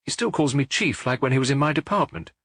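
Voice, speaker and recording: A man's voice: mid-range voice, lively intonation, fast talker, creaky, fairly bright tone, no room sound, clean studio sound, pitched above the performer's own voice. A man voicing a middle-aged French detective who muses aloud and jokes dryly, in an audiobook. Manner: musing, dryly joking.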